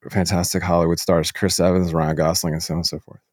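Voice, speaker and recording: A man hosting a casual interview, speaking in a halting, appreciative way to his guest. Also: low voice, little pitch movement, a little mellow, dry recording, studio quality. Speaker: podcast host.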